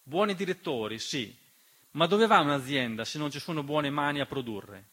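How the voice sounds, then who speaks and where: A man's voice: fairly high pitch, expressive, normal speed, fairly bright tone, slightly rough. A man delivering an emphatic, dramatic theatrical monologue as narrator, with rhetorical questions and refrains. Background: piano accompaniment.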